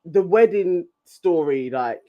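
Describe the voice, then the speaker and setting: A man's voice: on the high side, expressive, takes it slowly, dull audio. A man co-hosting a casual live stream chat, laughing often and talking with animation. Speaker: co-host.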